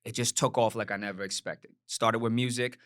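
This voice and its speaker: A man in his forties whose first language is Cantonese talks through his story in a measured, reflective way, often checking in with "right?". His voice sits mid-range and is recorded close and dry, with no room around it. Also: medium pitch, steady tone, fairly bright tone, no room sound. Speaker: podcast guest. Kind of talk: casual podcast conversation.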